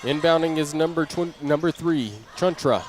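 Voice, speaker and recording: A man with a fairly high voice calls a basketball game live. He speaks in clipped, present-tense bursts as the play moves, like a radio play-by-play announcer. The recording is a noisy broadcast from the gym. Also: moderate pace. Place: indoor gymnasium during a basketball game.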